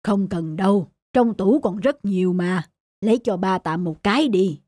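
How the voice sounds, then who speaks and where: A woman voicing a calm, affectionate elderly father and bakery owner in a measured, fatherly way for an animated story. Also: mid-range voice, no echo, studio quality, lightly acted. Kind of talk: animated moral-story acting.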